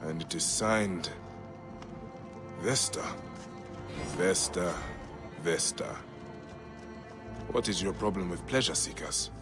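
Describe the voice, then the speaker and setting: An actor playing a grave, compassionate male Egyptian investigator in a video game, speaking in a measured, brooding way. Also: sits low, music in the background. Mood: brooding, investigative. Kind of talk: video game acting, part dialogue, part musing aloud.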